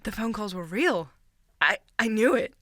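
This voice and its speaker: A woman's voice: mid-range voice, animated, moderate pace, sharp tone, dry recording, studio quality. An actress playing a keen, teasing young woman who works as an amateur sleuth in an audio drama. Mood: proud, eager.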